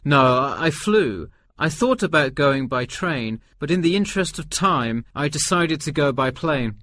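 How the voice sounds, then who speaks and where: A man's voice: a little high, lively intonation, unhurried, a bit bright, no echo, clean studio sound. A man playing an easygoing middle-aged tourist who gives agreeable, explanatory answers in a scripted listening-test dialogue. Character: easygoing forty-one-year-old male tourist answering survey. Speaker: survey respondent.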